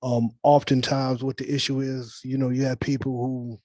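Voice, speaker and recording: Man, film director, little pitch movement, informal briefing, reassuring, rambling. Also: level tone, moderate pace, warm tone, no echo. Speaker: film director leading a table read. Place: virtual meeting on a video call.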